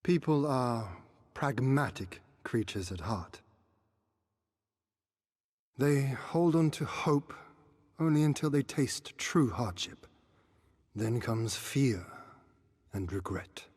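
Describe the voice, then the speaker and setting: An actor playing a young exiled prince in a video game, earnest and stoic, with formal archaic diction and a measured, oratorical delivery. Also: male voice, mid-range voice, expressive, a little mellow, slightly rough, long reverb tail. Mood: grave, measured. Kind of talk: cinematic video game voice acting.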